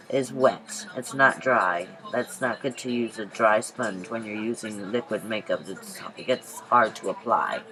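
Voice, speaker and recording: A woman with a very low voice, congested from a cold, narrates her makeup routine. She rambles casually, pausing on ums and restarting her sentences. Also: fairly bright tone, poor audio. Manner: chatty, rambling, many ums and self-corrections. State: stuffy from a cold. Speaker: beauty vlogger. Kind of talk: casual makeup tutorial voice-over.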